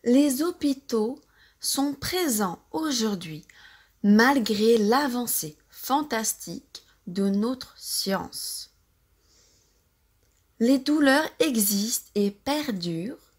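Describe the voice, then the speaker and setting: A woman with a French accent narrates a novel in a fairly high voice. She reads extremely slowly, phrase by phrase, with careful and deliberate enunciation suited to learners practising pronunciation. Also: lively intonation, slightly rough. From France. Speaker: audiobook-style reader for language learners.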